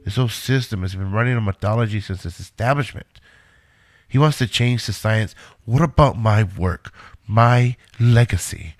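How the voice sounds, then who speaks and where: A man's voice: lowish voice, moderate pace, no echo, faint music behind, close to an everyday voice. A man playing a reluctant inventor in an audio drama, earnestly protesting for the work he is devoted to. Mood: brooding, indignant. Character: Einstein, a reluctant, indignant male inventor devoted to his work.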